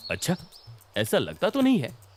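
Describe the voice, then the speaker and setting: A man voicing a calm, suspicious young city policeman in a children's cartoon story, speaking politely and with measured, probing words. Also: a little high. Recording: music behind the voice.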